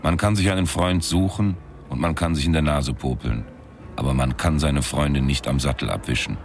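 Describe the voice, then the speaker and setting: A cynical country singer turned sleuth, narrating a radio crime story in an extremely low voice. He is deadpan and sardonic, with faint music behind him. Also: normal speed. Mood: deadpan, wry.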